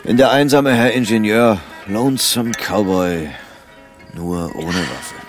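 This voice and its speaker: A man's voice: lowish voice, slow pace, faint music behind. An actor playing a weary, laconic private detective in his thirties in a German radio drama. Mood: weary, dry.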